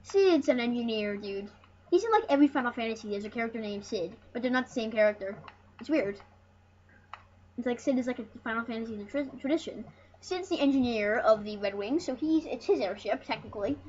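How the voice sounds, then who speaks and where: Female let's play host, higher register, rambling and jokey, sweary at times, on a dull, slightly noisy mic. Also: a little mellow. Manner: joking, rambling, casually sweary. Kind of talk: casual gameplay commentary, reading game dialogue aloud. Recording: muffled lo-fi mic with background noise.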